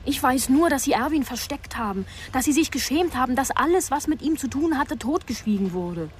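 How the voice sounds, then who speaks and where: A woman's voice: sits high, animated, fairly quick, a little hiss or hum. An actress playing an anguished young woman in a radio drama, insistent and emphatic. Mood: accusing.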